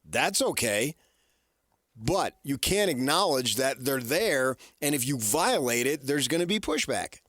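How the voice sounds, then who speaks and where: A middle-aged American man co-hosting sports radio, giving opinions conversationally and haltingly, as if thinking aloud. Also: mid-range voice, very animated, fairly bright tone, no room sound, clean studio sound. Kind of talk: casual sports talk opinion. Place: radio studio. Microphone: close studio microphone.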